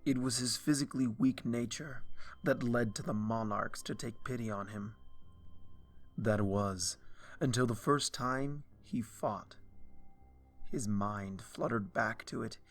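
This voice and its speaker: Male reader for dark fiction, unhurried, hushed, over soft music. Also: medium pitch. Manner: slow, hushed, brooding delivery. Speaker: fanfiction reader. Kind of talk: dramatic story reading.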